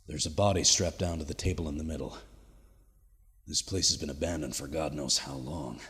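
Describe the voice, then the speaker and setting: A weary soldier with an extremely low voice, speaking into a log in a grave, brooding way. The space is echoey, with long reverb trailing the words. He is a haunted survivor in a radio play, confiding as if alone. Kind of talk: audio drama monologue as a recorded log.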